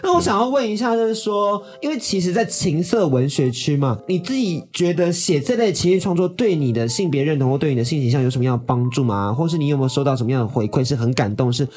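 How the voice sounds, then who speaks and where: A man's voice: on the high side, lively intonation, quick pace, few pauses, darkish tone. A man with a Taiwanese Mandarin accent hosting a casual radio interview in a playful, teasing way. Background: background music.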